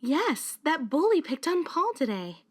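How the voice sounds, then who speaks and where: A woman plays a caring high school girl who leads her club in a fan-made visual novel. Her voice is high and very expressive in pitch. She has a protective temper with an anxious undercurrent. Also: a little slow. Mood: relieved, concerned.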